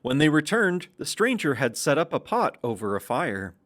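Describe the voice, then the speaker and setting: Animated male children's story narrator. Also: a little high, moderate pace.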